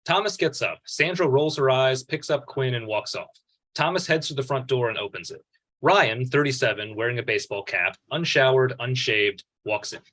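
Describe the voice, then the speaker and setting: A man's voice: mid-range voice, normal speed, a bit bright. A man reading screenplay stage directions as narrator at a table read.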